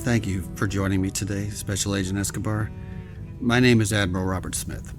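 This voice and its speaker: A man acting a grave, formal senior admiral in an audio drama, speaking in a measured, deliberate way. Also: on the low side, fairly even intonation, music in the background, lightly acted.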